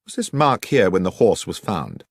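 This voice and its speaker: A man voicing a brilliant Victorian detective in an audiobook, with crisp, patient, authoritative speech. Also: low-pitched, sing-song, moderate pace, no echo, studio quality, full character voice. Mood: brisk, probing. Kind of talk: audiobook character reading.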